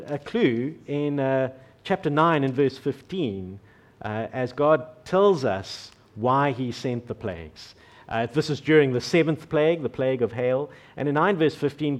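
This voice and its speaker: A middle-aged man from South Africa with a South African accent, preaching a conversational sermon and slowing down for scripture quotes. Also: medium pitch. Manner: conversational, self-deprecating, slows down for scripture quotes. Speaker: pastor.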